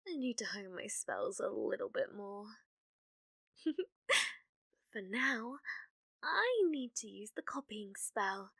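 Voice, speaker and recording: A woman's voice: mid-range voice, sharp tone, no room sound, studio quality, heavily acted. A woman with a British accent playing a clumsy, obsessive, lovestruck young witch in an ASMR roleplay, with hesitant, awkward speech. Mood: musing to herself. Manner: flustered, stammering, hesitant, breathy murmuring asides.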